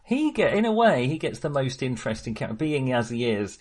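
A man's voice: mid-range voice, sing-song, brisk, a crowd in the background. A man discussing films casually as a podcast host, in a chatty, hesitant, rambling way.

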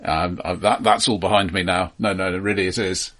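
A man casually recapping a film as a podcast co-host, halting and wry. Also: low-pitched, fairly even intonation, a bit bright.

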